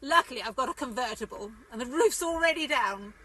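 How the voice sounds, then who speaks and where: A woman's voice: on the high side, lively intonation, fairly quick, pushed and bright, heavily acted. A woman playing a flustered, bossy but cheerful English driver in an amateur radio play, exclaiming, scolding and coaxing. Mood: brisk, cheerful. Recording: noisy amateur recording with traffic ambience.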